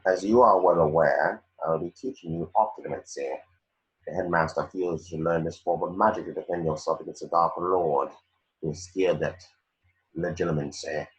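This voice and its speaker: A man's voice: low-pitched, steady tone, normal speed, lo-fi sound, natural voice. A man playing a sneering, cold wizard professor in a script read, speaking in formal, curt, condescending phrases. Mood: stern lecturing.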